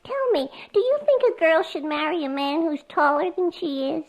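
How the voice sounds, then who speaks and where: Dim, earnest young female secretary, extremely high voice, 1940s radio comedy. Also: big pitch swings, moderate pace, full character voice. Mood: innocent. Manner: naive, earnest malapropisms. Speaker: comedy sketch actress. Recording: old radio comedy recording.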